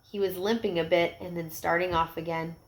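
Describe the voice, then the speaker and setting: A woman reading a picture book aloud to young children, expressive, with gentle explanatory asides. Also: lowish voice, fairly quick, low-quality recording.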